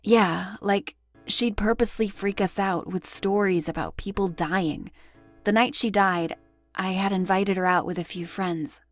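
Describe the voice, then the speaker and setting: A woman playing a guarded, troubled witness who speaks hesitantly and haltingly in an audio drama. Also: mid-range voice, steady tone, faint music behind, lightly acted. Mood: troubled, recalling.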